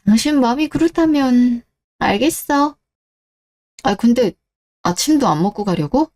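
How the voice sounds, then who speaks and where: A woman's voice: a little high, animated, unhurried, darkish tone. A woman playing a caring but indignant young wife in a scripted radio drama, polite yet firm.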